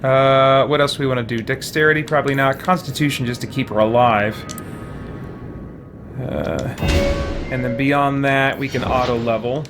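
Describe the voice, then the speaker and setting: A man giving casual let's play commentary, muttering to himself as he thinks out loud, with mild exclamations. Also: mid-range voice, steady tone, normal speed. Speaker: gaming video host. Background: game music.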